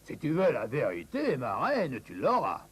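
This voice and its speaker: A man's voice: medium pitch, huge pitch swings, a little slow, a bit bright, husky, full character voice. A man voicing a cheeky talking magic mirror in a cartoon, theatrical and teasing. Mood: taunting. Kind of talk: French cartoon dub.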